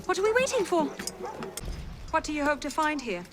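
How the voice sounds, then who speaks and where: An actress playing a bold, sharp-witted young woman in a video game, wry and probing. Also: high-pitched, very animated, normal speed, over music. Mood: wry, impatient. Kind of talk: dramatic video game acting.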